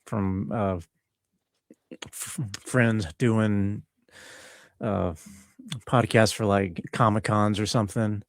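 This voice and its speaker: A man's voice: lowish voice, steady tone, no echo. Thoughtful male guest, dry close sound. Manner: halting, thoughtful, searching for words. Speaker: interview guest, composer and audio engineer. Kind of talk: casual reflective chat.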